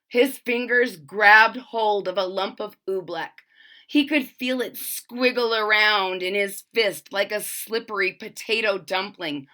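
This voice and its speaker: A woman's voice: mid-range voice, pushed and bright. A woman reading a children's story aloud expressively and dramatically, stopping to retell it and address the listeners. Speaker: storybook reader.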